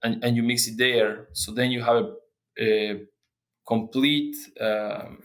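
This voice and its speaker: A young man from Argentina, a guest researcher explaining his work in an interview, speaking haltingly with many restarts and fillers. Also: medium pitch, level tone.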